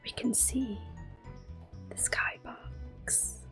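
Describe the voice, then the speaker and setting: Soft breathy whispery lady doing a chill asmr playthrough, close mic, quiet music under it. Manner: gentle, unhurried, softly spoken. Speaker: ASMR gaming host. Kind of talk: ASMR game playthrough commentary. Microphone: close microphone.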